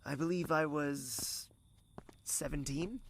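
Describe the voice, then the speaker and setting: A man playing a courteous, regal young prince in love in an audio drama, gentle and formal, with the odd French phrase. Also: on the high side, takes it slowly, gravelly, slight background noise. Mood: reflective, teasing.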